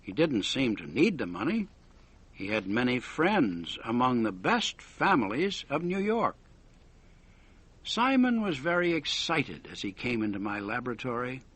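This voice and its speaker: A man's voice: medium pitch, very animated, a little slow, a bit bright, breathy edge. A man narrating a scripted story for English learners, with dramatic, careful phrasing.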